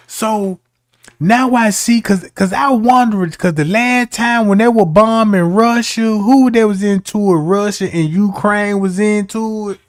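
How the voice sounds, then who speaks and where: A man's voice: high-pitched, fairly even intonation, unhurried, dry recording, studio quality. A man giving casual commentary as a streamer, talking in a slangy, argumentative way.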